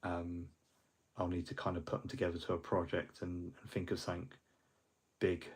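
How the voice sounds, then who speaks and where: A man's voice: deep voice, flat delivery, moderate pace, slightly rough. A middle-aged man, hosting a hobby livestream in a rambling, friendly way, full of ums and restarts, a little fluey. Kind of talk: casual livestream chat.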